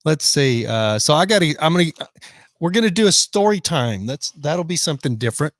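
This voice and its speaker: A man's voice: on the high side, very animated, brisk, dry recording, studio quality. A man in his fifties hosting a casual live stream, chatting and reading out viewer comments. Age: 50s. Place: van in the rain.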